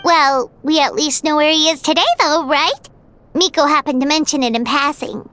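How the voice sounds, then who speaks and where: Squeaky, very high-pitched little fairy sidekick. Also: female voice, moderate pace, pushed and bright, slight background noise. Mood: curious. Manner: refers to self in third person. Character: small chirpy childlike fairy companion, curious and eager. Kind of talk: animated video game voice acting.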